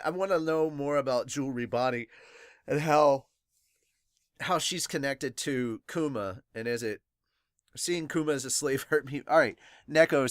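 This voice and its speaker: A man's voice: medium pitch, no room sound, studio quality. A man hosting a podcast, reading manga aloud and giving his reactions to it. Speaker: podcast host and reader. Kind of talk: dramatic manga read-aloud and excited reaction commentary.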